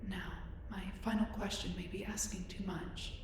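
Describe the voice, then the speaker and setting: A woman's voice: mid-range voice, fairly even intonation, normal speed, close to an everyday voice. A woman playing a curious, polite young female alien in an audio roleplay, speaking in formal, precise, reserved phrasing. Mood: earnest, inquisitive. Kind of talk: scripted roleplay audio drama addressed to listener. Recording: echoey, distant, noisy spaceship ambience.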